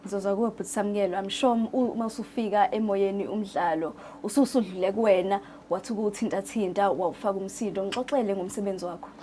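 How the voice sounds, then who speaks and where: A woman's voice: medium pitch, fairly even intonation, fast, warm tone, a little hiss or hum. A South African woman, a native Zulu speaker, hosting a conversational interview in a studio. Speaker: TV host interviewing radio drama staff. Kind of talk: conversational magazine-show interviewing. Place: radio drama studio.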